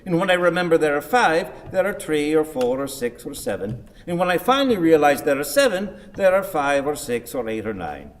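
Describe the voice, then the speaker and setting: Casting: cantankerous old blind husband, wide swooping delivery, sardonic grumbler, theatrical radio drama, reverberant hall. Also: male voice, on the high side, big pitch swings, moderate pace, few pauses. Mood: querulous. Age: older. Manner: grumbling, declamatory, cursing. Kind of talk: theatrical radio play acting.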